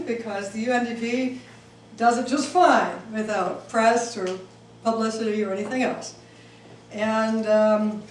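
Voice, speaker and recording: Hesitant middle-aged woman in an echoey room. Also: mid-range voice. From Switzerland. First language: German. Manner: hesitant, many ums and restarts. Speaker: invited speaker. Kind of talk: public talk with audience questions. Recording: echoey room, distant mic, faint hiss.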